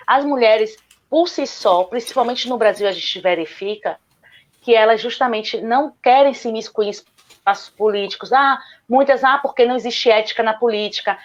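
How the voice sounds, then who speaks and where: Earnest Bahian woman on a muffled call. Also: mid-range voice. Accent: Bahian Portuguese. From Salvador, Bahia, Brazil. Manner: earnest, argumentative, repeats "justamente". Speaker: guest speaker, lawyer. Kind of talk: online panel Q&A answer.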